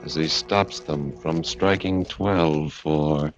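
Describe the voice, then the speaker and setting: A man's voice: rumbling low, fairly even intonation, slow. A man announcing a suspense drama in the dramatic style of 1940s radio. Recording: dull old broadcast, loud organ music.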